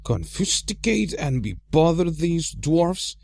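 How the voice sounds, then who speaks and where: A man's voice: fairly high pitch, sing-song, slow, creaky, a little mellow, a little hiss or hum, voice pushed up, full character voice. A man voicing a flustered, grumbling hobbit householder in a storybook, fussy and indignant. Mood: annoyed.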